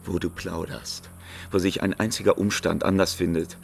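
An actor playing a sly, murderous bandit, cool, insinuating and threatening, in classic radio drama style. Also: male voice, low voice, little pitch movement, normal speed, creaky. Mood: menacing. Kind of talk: 1950s German radio drama acting. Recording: old radio recording with faint hum.

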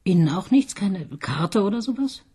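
An actress playing a brisk, dryly ironic police detective in a radio crime drama. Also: female voice, medium pitch, animated, dark tone, husky. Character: busy female murder detective, dry and brisk.

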